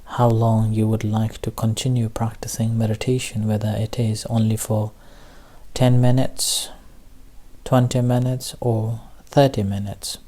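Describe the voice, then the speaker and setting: A man with a soft-edged, relaxed voice guides a meditation session. He speaks very slowly, in short, halting phrases separated by long pauses. His intonation stays very flat as he gently gives instructions. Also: lowish voice. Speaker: Buddhist meditation teacher leading a group session.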